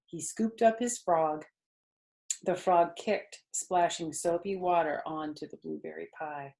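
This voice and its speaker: A woman storyteller narrating a children's book in an expressive reading style. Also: fairly low pitch, measured pace, creaky, low-quality recording.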